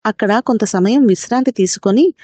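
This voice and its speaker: A kindly old guru from a moral storybook read by a woman. He instructs his pupils in a composed, mellow tone, heard in a dry recording. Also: fairly high pitch, fairly quick, slightly rough, dry recording. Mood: composed, instructive.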